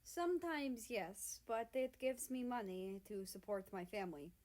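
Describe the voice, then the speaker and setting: A woman voicing a tall, gentle, devoted man with a soft, smooth and slightly formal manner in a story reading. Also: fairly high pitch, unhurried, close to an everyday voice. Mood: gentle, composed.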